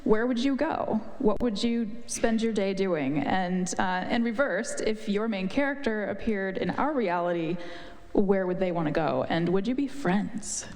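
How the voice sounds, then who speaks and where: A woman's voice: mid-range voice, unhurried, a little mellow. A woman moderating a live author panel, asking questions in a friendly way. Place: event hall. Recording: reverberant hall.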